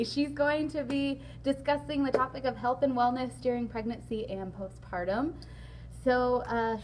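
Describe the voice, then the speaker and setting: Hoarse raspy young woman hosting a vlog. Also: mid-range voice, a little hiss or hum, rough recording. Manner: chatty, frequent ums, laughs along. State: hoarse, lost voice. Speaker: vlog host. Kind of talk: casual sit-down interview. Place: large indoor hall.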